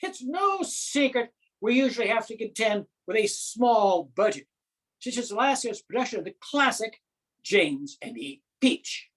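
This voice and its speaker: A woman's voice: a little high, big pitch swings. Woman playing a pompous amateur theatre director. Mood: awkward, rueful. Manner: formal, pompous, deliberate pauses. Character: earnest, self-important amateur drama society director, male. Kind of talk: theatrical comic monologue.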